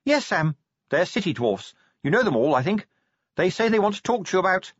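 Man playing a fussing, bossy noble lady, raised husky voice, lively, dull dry sound. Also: high-pitched, no echo, pitched above the performer's own voice. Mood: reassuring. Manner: brisk, fussing, matter-of-fact. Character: bossy caring noblewoman, wife of the commander. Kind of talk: audiobook character voice.

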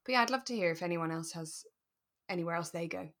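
A British woman co-hosting a relaxed chat about radio drama, expressive and enthusiastic in her delivery. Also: lowish voice, fast. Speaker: podcast co-host and actor.

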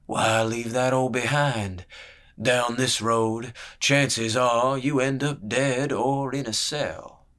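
A man voicing a paunchy middle-aged spymaster in an audiobook, who talks in a slow, quiet murmur with a veiled threat under it. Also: medium pitch, fairly even intonation. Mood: cold. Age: 50s. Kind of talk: audiobook character voice.

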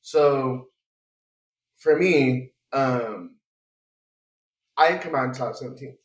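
A man's voice: mid-range voice, slow pace, roomy sound, poor audio. A man from San Francisco chatting casually around a dining table, rambling and searching for his words, laughing easily. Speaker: guest. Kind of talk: casual group chat. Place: home dining room.

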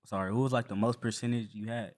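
A man's voice: on the low side, fairly even intonation, quick pace, a little mellow. A man co-hosting a casual podcast who asks questions and keeps saying "you feel me". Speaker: podcast co-host. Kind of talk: casual chat.

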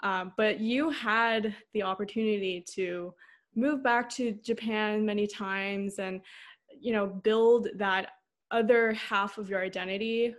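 A woman's voice: medium pitch, fairly even intonation, a little slow. A young woman interviewing a guest on a podcast, asking rambling questions full of fillers. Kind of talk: casual interview talk.

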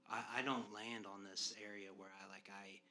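A man's voice: fairly low pitch, little pitch movement, moderate pace, bright, forceful tone. A man hosting a podcast, talking earnestly in a loose, rambling way full of fillers. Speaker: podcast host. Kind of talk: casual conversation.

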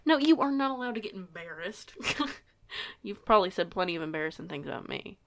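Teenage werewolf girl, played by a woman: gravelly creak, slightly low, chatty and hesitant, stubborn but bookish, casual audio-drama delivery. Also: animated, a little fast, fairly bright tone, dry recording. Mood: candid, teasing. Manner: chatty, rambling, hesitant, self-correcting. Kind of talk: audio roleplay acting, casual conversation.

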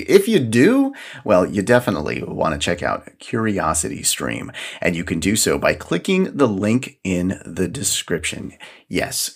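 Deep-voiced, animated male podcast host. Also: husky. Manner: chatty, informal. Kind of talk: casual podcast talk with sponsor read. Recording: music behind the voice. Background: background music.

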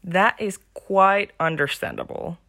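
A woman's voice: mid-range voice, a little slow, pushed and bright, no echo, clean studio sound. A woman playing a chatty, enthusiastic fangirl in an amateur audio drama, polite and teasing. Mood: teasing, delighted.

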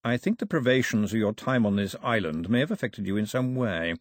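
A man reading aloud and voicing a stately, fatherly official who speaks in a measured, placating, slightly pompous way. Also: fairly low pitch, lively intonation, no echo, clean studio sound.

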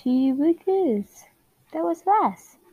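A woman's voice: high-pitched, sing-song, extremely slow, narrow, tinny audio, voice pushed up, exaggerated voice. A woman playing a good-natured, folksy male co-worker in a sitcom office. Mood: impressed.

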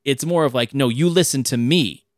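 A man's voice: mid-range voice, moderate pace, no room sound, clean studio sound. A man hosting a podcast, talking casually about an old film and sometimes acting out lines in a raised voice. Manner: animated, acts out quotes in a raised voice. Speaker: podcast host.

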